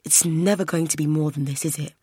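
A woman voicing a hurt young man who pleads with his secret lover, quiet, sad and blunt. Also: sits low, fairly even intonation, fairly quick, slightly rough, no room sound, studio quality. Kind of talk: audiobook narration.

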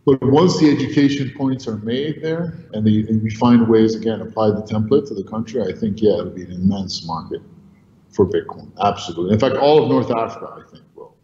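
A man born in Egypt and raised in New York, giving energetic, rambling answers in an interview. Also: lowish voice, fairly quick. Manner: energetic, rambling, repeats words. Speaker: crypto company founder. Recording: roomy, echoey, dull audio with faint noise.

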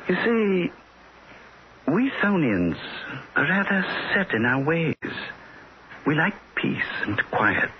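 A man playing a small old alien in a 1960s radio drama, polite and calmly menacing, hesitating as he searches for words. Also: a little high. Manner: genteel, hesitant word-searching, matter-of-fact. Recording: dull, noisy old radio recording.

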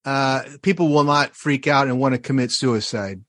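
A man's voice: mid-range voice, normal speed, a bit bright, no room sound, clean studio sound. A man hosting an interview show, talking in a chatty, enthusiastic way with plenty of fillers as he promotes upcoming episodes. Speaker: podcast host.

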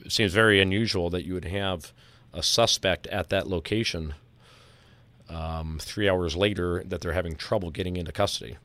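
Male host, deep voice, close dry sound, deliberate serious delivery, analytical talk. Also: steady tone, a bit bright, no echo. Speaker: podcast host. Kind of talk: analytical discussion of audio clips.

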